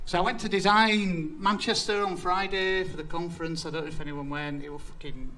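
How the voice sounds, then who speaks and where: A man's voice: high voice, fairly even intonation, brisk, pushed and bright, slight background noise. A young man with a Yorkshire accent giving a casual, sweary, self-deprecating talk about his design work at a community event. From Yorkshire, England. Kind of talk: casual conference presentation.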